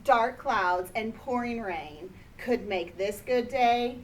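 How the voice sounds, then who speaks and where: A woman's voice: on the high side, takes it slowly. A woman reading a children's picture book aloud expressively, drawing out key words for emphasis. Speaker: storybook reader. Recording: distant mic, faint background noise.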